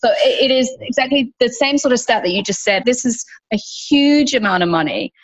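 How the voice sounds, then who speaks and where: A woman in her thirties from New Zealand with a New Zealand accent, a guest on an interview podcast, talking in an animated, emphatic way with lots of "like" and "right?". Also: fairly high pitch, a little fast, poor audio. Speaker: guest, childcare company founder.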